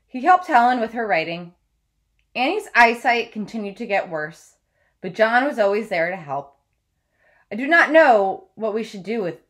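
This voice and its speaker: A woman in her thirties reading a book aloud like a teacher, in a measured, deliberate way with clear phrasing. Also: mid-range voice, fairly bright tone. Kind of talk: read-aloud of a children's biography. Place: small room at home, indoors.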